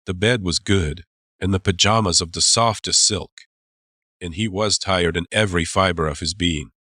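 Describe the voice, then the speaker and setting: A man narrating a dramatized audiobook as a storyteller, measured and suspenseful. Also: sits low, sharp tone, slightly rough, dry recording.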